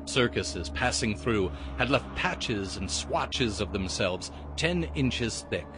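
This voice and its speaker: A man's voice: mid-range voice, lively intonation, slow. A man narrating a Halloween adventure as a vivid dramatic storyteller, building intensity as he goes. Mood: atmospheric. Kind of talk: dramatic scripted audiobook narration. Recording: loud music and outdoor effects behind.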